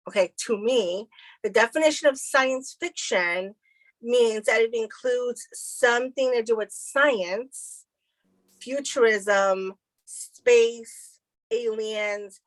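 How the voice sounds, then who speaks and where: A chatty woman from El Salvador co-hosts a casual podcast and tells her stories with lively energy. Her voice sits a little high, and it is recorded close and dry with no room sound. She laughs easily between her stories. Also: no room sound, rough recording. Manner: chatty, animated storyteller who laughs often. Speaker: podcast co-host. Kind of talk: casual chat. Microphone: video call recording.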